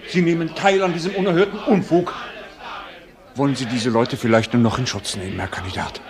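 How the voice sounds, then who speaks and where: An actor playing a staid, moralizing older pastor in a radio play, with an unctuous, sermonizing manner. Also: male voice, medium pitch, brisk, a crowd in the background, close to an everyday voice. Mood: indignant. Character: staid, moralizing older male village pastor, complacent and preachy.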